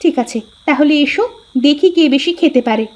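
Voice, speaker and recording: High-voiced young village daughter-in-law played by a woman. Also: animated, brisk. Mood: indignant. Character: dutiful young village daughter-in-law, proud of her parents. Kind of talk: Bengali cartoon dialogue.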